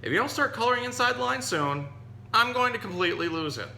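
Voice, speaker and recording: A man's voice: extremely high pitch, expressive, fast talker, vocal fry, bright, forceful tone, pitched above the performer's own voice, full character voice. A man reading a storybook, voicing a fussy, exasperated purple crayon that insists on neatness. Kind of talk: storybook read-aloud. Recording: echoey room with audible hum.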